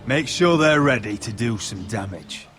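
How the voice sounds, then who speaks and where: A man playing a resolute, protective young nobleman in a fantasy video game, speaking in a measured, terse, grave way. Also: mid-range voice, animated, a bit bright, faint music behind, close to an everyday voice. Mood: firm, determined. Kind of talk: dramatic video game acting.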